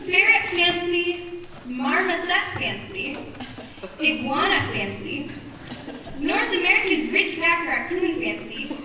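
A woman's voice: extremely high pitch, heavily acted. A woman playing a supermarket announcer in a stage comedy, formally listing items for shoppers. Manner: formal, carefully enumerating. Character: chirpy supermarket announcer reading store promotions. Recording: very echoey, noisy, distant theatre recording.

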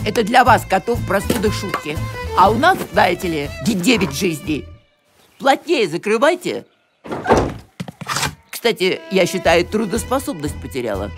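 A woman voicing a bossy, self-pitying, theatrical woman in an animated cartoon, dramatic and imperious in her pronouncements. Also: mid-range voice, normal speed, music in the background, close to an everyday voice.

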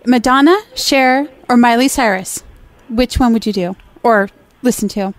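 A woman's voice: a little high, normal speed. A woman co-host making a prank call in a sugary, teasing manner, calling the other person honey and sweetheart.

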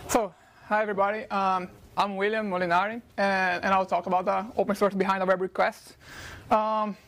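A man from Brazil with a Brazilian Portuguese accent, a non-native English speaker giving a fast technical conference talk with many filler sounds into a handheld mic in a lecture hall. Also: high-pitched, little pitch movement, a bit bright. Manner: fast-talking with frequent uh fillers.

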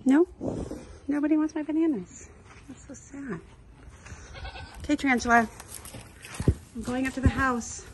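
A woman's voice: sits high, measured pace, pauses often, a little hiss or hum, low-quality recording. A woman filming her farm animals outdoors on her phone, chatting casually and playfully talking to the animals. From Arizona, US. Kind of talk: casual phone vlog narration.

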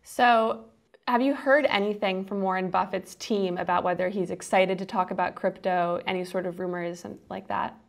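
Female news interviewer, brisk questions, some vocal fry, mid pitch. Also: steady tone. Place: studio room with yellow backdrop.

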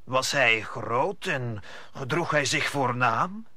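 A grave musketeer captain, speaking slowly and with authority. The voice is sharp and forceful, moving widely in pitch, in a radio play. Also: male voice, lively intonation, dry recording. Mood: formal, probing. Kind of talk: audio drama acting.

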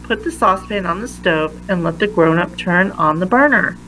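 A woman reading a children's picture book aloud in a deliberate manner, pausing between phrases. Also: fairly low pitch, fairly bright tone, slight background noise, poor audio. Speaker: read-aloud reader.

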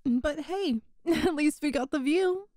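A woman's voice: high-pitched, slow, background noise. A woman playing a friend's chatty, teasing older sister in a casual, giggly roleplay audio. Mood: light, reassuring. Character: best friend's older sister, playful, teasing, secretly smitten. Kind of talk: roleplay audio acting, one side of a conversation.

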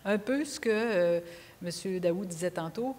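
A woman's voice: lowish voice, a little slow, darkish tone. A woman in her sixties with a Quebec French accent, speaking off the cuff as a panelist on an auditorium stage. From Quebec, Canada. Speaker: panelist, retired judge. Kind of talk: unscripted panel discussion. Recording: reverberant hall, noisy background.

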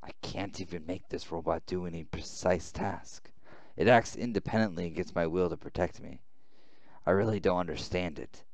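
A man voicing a weak, bitter outcast boy in a visual novel game, halting and brooding. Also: low-pitched, darkish tone, no room sound. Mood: hesitant, brooding.

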